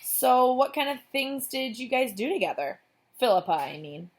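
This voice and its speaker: A woman's voice: sits high, very animated, normal speed, pitched above the performer's own voice. A woman voicing a young girl in a children's storybook, loyal and teasing, talking in a casual kid manner. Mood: feigned casual.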